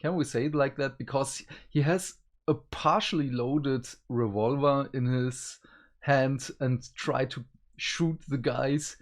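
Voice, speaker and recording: A man's voice: mid-range voice, steady tone, slow pace. A man co-hosting a casual review chat, enthusiastic and prone to giggling. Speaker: reviewer, co-host.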